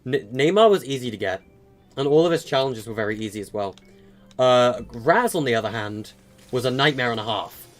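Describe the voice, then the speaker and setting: A man's voice: mid-range voice, lively intonation, a little fast, soft background music. Rambling young man streaming a game. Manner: rambling, with frequent fillers and stutters. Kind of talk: casual live-stream chat answering viewers.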